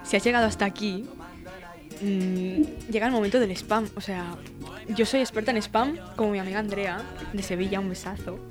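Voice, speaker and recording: Young woman, mid-pitched, bubbly and teasing, faint music underneath. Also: normal speed. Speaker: podcast co-host. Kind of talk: casual chat.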